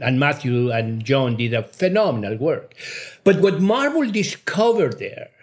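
An older man from Mexico, a Spanish speaker, answering interview questions effusively, repeating words for emphasis. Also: medium pitch. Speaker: cinematographer, interview guest.

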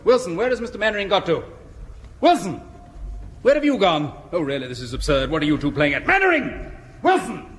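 An officious English bank inspector in an old radio comedy. The voice is high and very animated, fussy and spluttering with indignation. There is faint noise on the vintage recording. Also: male voice, brisk. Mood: baffled, annoyed. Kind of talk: vintage British radio sitcom acting.

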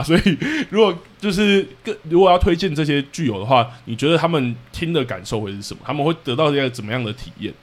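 Man, podcast host, Taiwanese Mandarin accent, animated intonation, rapid pace, casual interviewing. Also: a little high.

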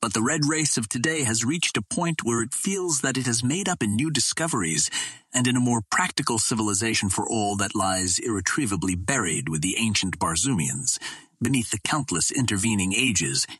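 A man reading an adventure novel aloud as a scripted narration, in a measured storytelling manner. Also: on the low side, lively intonation, a bit bright, breathy edge, no echo, studio quality. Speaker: audiobook narrator.

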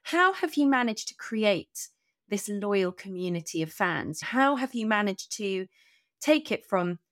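A woman's voice: medium pitch, very animated, a little slow, a bit bright, no room sound, studio quality. A woman hosting a conversational podcast interview, speaking earnestly and affirmingly with emphatic repetition.

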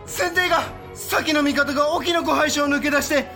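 Casting: alarmed courier, extremely high, sharp voice, agitated formal reporting, period audio drama. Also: male voice, fairly even intonation, normal speed, faint music behind, exaggerated voice. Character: frantic, alarmed samurai messenger. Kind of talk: Japanese historical audio drama.

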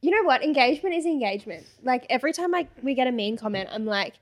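Giggly young woman with a higher voice. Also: animated, fast. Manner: giggly, reads comments aloud with mock voices. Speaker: podcast co-host. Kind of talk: casual chat reading hate comments.

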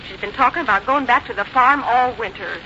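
An actress playing a fretful, defensive grown daughter in a radio drama, insistent and complaining. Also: female voice, fairly high pitch, brisk, bright, forceful tone. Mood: fretful, exasperated. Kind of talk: 1950s radio drama acting. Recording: dull, hissy old radio recording.

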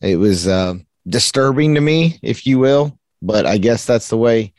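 A man co-hosting a casual podcast, speaking in a plain, measured and sometimes teasing way. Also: mid-range voice, animated, a little mellow, no room sound, studio quality. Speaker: podcast co-host.

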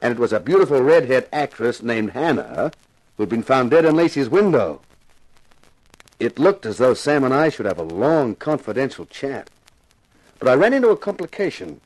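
Lively, hardboiled American sleuth. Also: male voice, mid-range voice, moderate pace, dry recording, rough recording. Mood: wry narrating. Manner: dry, wisecracking. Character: wry, flirtatious hardboiled male TV sleuth narrator. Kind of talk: 1950s radio detective narration and dialogue.

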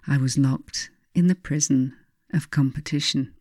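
A woman reading her own spiritual self-help book as scripted narration, speaking deliberately and earnestly straight to the listener. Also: a bass voice, steady tone, mellow, dry recording, studio quality.

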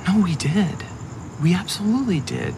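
A man playing a guilty, flustered ex-boyfriend in a naturalistic audio drama, halting and apologetic as he pleads. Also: sits high, lively intonation, vocal fry, background noise. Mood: pleading, agitated.

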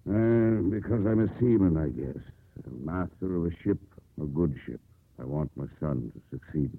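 An older, fond but blustering ship's master. His voice is deep and raspy, with big emphatic swings as he sputters. It has the boxy, band-limited sound of 1950s radio drama. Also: animated, moderate pace, exaggerated voice. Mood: weary, pleading. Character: blustery, fond older sea captain father. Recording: muffled, narrow-band old radio broadcast.

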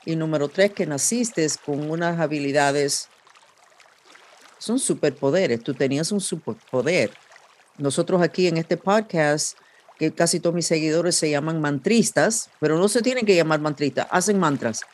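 A woman's voice: low voice, normal speed, darkish tone. Podcast host woman. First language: English. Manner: rambling, meandering with restarts and fillers. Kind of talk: casual podcast conversation.

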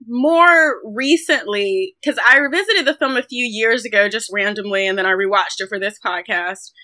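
A woman with a fairly high, bright voice co-hosts a film podcast. She talks casually and chattily, feeling her way through her thoughts. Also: normal speed.

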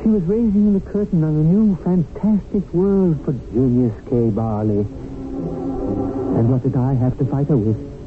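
An actor playing a wry first-person storyteller, narrating a radio drama in a theatrical, rhetorical manner. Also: male voice, on the high side, unhurried, lightly acted. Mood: wry, dramatic. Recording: tinny old radio broadcast. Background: loud dramatic music.

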